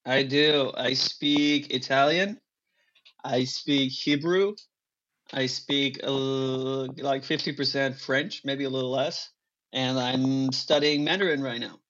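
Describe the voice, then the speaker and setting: A man whose first language is Spanish chats as an interview guest. He talks with lively emphasis at a middling pitch. The recording sounds dull and lo-fi, with the top end rolled off. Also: slow. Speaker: guest, actor. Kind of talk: casual interview talk.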